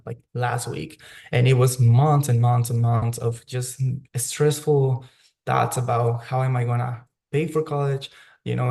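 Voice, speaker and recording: A man's voice: medium pitch, creaky, a little mellow. A young man who grew up in Mexico, a student leader giving earnest personal testimony at a news briefing over a remote call. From Mexico (grew up there). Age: young adult. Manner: earnest, personal, some restarts.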